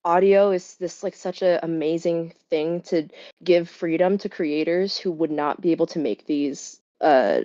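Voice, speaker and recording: Fast, filler-heavy woman voice actor. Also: low-pitched, dark tone. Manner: rapid, filler-heavy speech with many "like"s and restarts. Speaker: voice actor guest. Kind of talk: casual interview chat. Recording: muffled, lo-fi sound.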